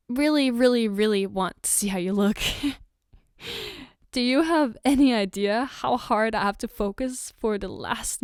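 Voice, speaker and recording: A woman's voice: sits high, no room sound, studio quality. A woman playing a loving, reassuring and playfully teasing girlfriend in an intimate ASMR roleplay, with a tender, coaxing manner. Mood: playful, pleading. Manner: tender, coaxing, soft giggles between phrases. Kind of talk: ASMR roleplay, intimate one-sided dialogue.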